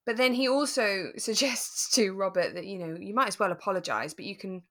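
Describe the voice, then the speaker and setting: A woman co-hosting a podcast in relaxed casual discussion, explaining things in a thoughtful, tentative way. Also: medium pitch, fairly quick. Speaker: podcast co-host.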